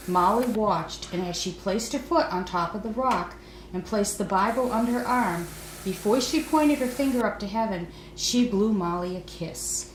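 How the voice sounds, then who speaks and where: An older woman reads a storybook aloud to children and comments as she goes. Her voice sits at a middle pitch. The room is echoey, with faint noise in the background. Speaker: author and storyteller.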